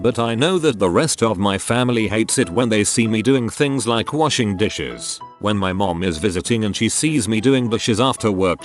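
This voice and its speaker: A man narrating forum posts as a scripted reading, with a brisk, deadpan delivery of one-liners. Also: fairly low pitch.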